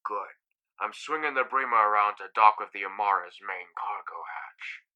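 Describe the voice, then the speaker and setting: A man's voice: lowish voice, normal speed, bright, forceful tone, lo-fi sound. A man playing a businesslike spaceship crewman giving updates in a sci-fi comic dub. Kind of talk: comic dub character acting.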